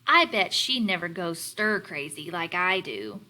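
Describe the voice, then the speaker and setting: Woman reading as a wistful, envious storybook spoon, bright voice. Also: fairly low pitch, animated, a little slow, pitched below the performer's own voice, exaggerated voice. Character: young restless envious spoon, complaining about luckier friends.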